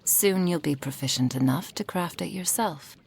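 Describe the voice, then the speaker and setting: A woman playing a possessive, doting kitsune witch in an intimate ASMR roleplay, breathy and often whispering, with a silky, formal manner. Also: deep voice, moderate pace, a little mellow, slight background noise. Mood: silky, instructive.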